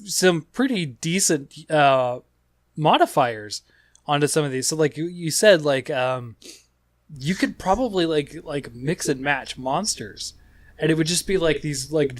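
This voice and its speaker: A man's voice: fairly high pitch, lively intonation, unhurried, dry recording. Rambling man hosting a gaming podcast. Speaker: podcast host. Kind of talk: casual gaming podcast chat.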